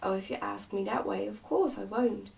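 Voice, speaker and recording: A woman's voice: mid-range voice, moderate pace, gravelly, darkish tone, far from the mic. A woman voicing a brave, good-natured miner boy from a storybook, easygoing and plain-spoken.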